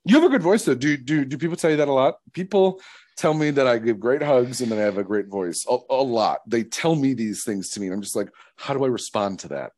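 A man's voice: mid-range voice, animated, quick pace, darkish tone, no echo. A man in his thirties hosting a podcast, playful and teasing, laughing often. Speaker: podcast host. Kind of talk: casual chat.

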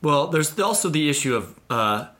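A man's voice: a little high, very animated, moderate pace, fairly bright tone. A man co-hosting a podcast, chatting about literature in a blunt, informal way. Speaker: podcast co-host. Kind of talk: casual literary chat.

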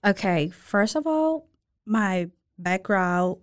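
Thai lady restaurant owner chatting, halting simple english, middle pitch. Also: animated. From Thailand. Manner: halting, simple phrasing, friendly. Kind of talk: casual interview.

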